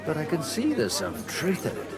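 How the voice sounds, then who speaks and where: Medieval game lad: cocky flirt, highish voice, hugely varied melody. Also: male voice, wildly swooping pitch, moderate pace, a crowd in the background. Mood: cocky, flirtatious.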